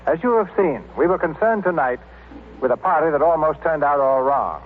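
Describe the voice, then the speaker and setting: A man's voice: fairly high pitch, animated, fairly quick, husky. A man announcing a 1930s radio programme in a formal, showmanlike scripted style. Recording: tinny 1930s radio recording.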